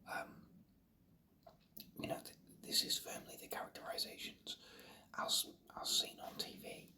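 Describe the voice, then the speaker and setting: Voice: unhurried, bright, forceful tone, distant mic. Whispering ASMR reviewer.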